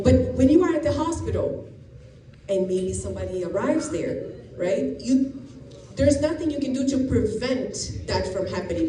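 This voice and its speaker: Woman, middle-aged, from Brazil, police officer addressing teens, explanatory, distant roomy noisy recording. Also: mid-range voice. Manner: explanatory, frequent restarts and fillers. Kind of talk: informal talk to students. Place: school classroom or gym. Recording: echoey room, distant and noisy.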